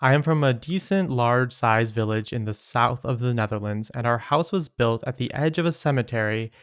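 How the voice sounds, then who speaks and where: A man's voice: medium pitch, brisk, smooth, no room sound, studio quality. A man co-hosting a podcast, chatting casually and reading listener stories aloud in an easygoing way. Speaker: podcast host.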